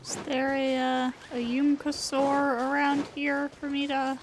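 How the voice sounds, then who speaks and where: A woman's voice: high voice, monotone, measured pace, background noise. A woman doing casual gameplay commentary as a livestreamer, reacting aloud and talking to herself. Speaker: game livestreamer. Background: video game music.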